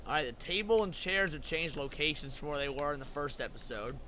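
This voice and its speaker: A man's voice: on the high side, animated, fairly quick, sharp tone, a little hiss or hum, rough recording. A man hosting a livestream, giving casual reaction commentary full of swearing and slang. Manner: profane, slangy. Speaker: livestream host. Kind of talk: casual live reaction commentary to horror series.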